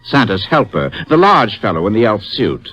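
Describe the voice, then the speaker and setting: A fussy, officious store manager from a 1950s radio play, low in pitch but swinging widely as he talks. He is formal and a little halting, with faint music in the vintage recording. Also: sing-song, a bit bright, slightly rough, exaggerated voice. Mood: prim, brisk. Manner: prim, officious, hesitant.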